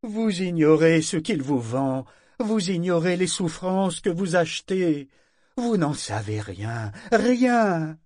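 A man doing a frantic, shrill ship figurehead. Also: slow pace, no echo, clean studio sound. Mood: desperate. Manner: pleading, shrill, yelling.

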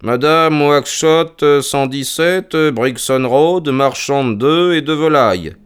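A man voicing a character who reads a ledger aloud in an audiobook, halting and deliberate. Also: a little high, clear, resonant tone, voice pushed up.

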